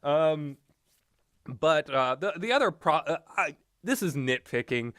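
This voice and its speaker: A man's voice: a little high, lively intonation, measured pace, a bit bright, no room sound, clean studio sound. A man giving casual, rambling and opinionated commentary on video games, often hesitating as he talks. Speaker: video game reviewer.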